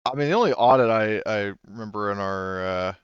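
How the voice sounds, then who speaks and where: A man's voice: on the low side, brisk, clean tone, dry recording, dull audio. A man acting as game master of a tabletop game, talking in a hesitant, laid-back way with plenty of fillers. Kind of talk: running a tabletop game over voice chat.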